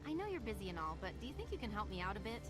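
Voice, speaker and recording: A woman's voice: mid-range voice, big pitch swings, rapid-fire, over music, lightly acted. A woman playing a tiny, cheeky female superhero in a video game cutscene, with a perky, playful delivery. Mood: playful, pleading.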